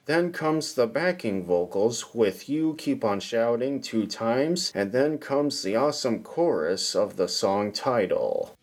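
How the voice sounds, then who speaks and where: Male host, scripted narration, slow and deliberate delivery, medium pitch. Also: expressive, loud music behind the voice. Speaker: podcast host.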